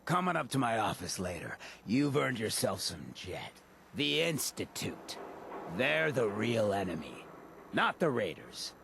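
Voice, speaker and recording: A gravelly rabble-rouser works the crowd in a game scene. His delivery is animated and swaggering, with dramatic pauses and the charisma of a street orator. Faint ambient noise sits behind him. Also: fairly low pitch, exaggerated voice. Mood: swaggering, rousing. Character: swaggering, charismatic mayor of freaks rallying his followers. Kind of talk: rousing speech in a video game.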